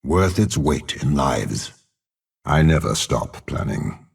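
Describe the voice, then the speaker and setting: An aging, imperious game villain with an extremely deep, husky voice. He is a cold schemer who speaks slowly and deliberately, with haughty menace and wide, dramatic swings in pitch. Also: expressive, full character voice. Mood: calm, scheming. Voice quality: gravelly, husky. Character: cold, scheming older male warlord and spymaster, imperious villain. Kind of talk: video game character voice lines.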